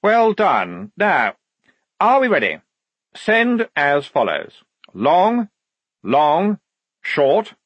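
A man's voice: fairly high pitch, huge pitch swings, extremely slow, pauses often, slightly rough, no room sound, muffled recording, voice pushed up, heavily acted. A man voicing a prim, pompous lieutenant in an audiobook, proud of his own cleverness, with pedantic, clipped, officious delivery. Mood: commanding.

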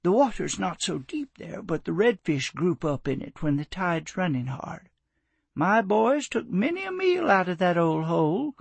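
A proud, polite old woman speaks in a genteel, plaintive way, as a male narrator puts her on. Her voice sits high and gravelly with a husky edge. The sound is close and dry. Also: sing-song, moderate pace, no room sound, full character voice. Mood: wistful. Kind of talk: audiobook character voice.

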